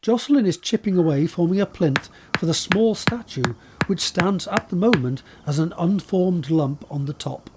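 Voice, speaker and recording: A man reading scripted scene descriptions as a narrator, in a measured, descriptive way. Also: high-pitched, animated, very noisy. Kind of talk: scripted narration of stage directions.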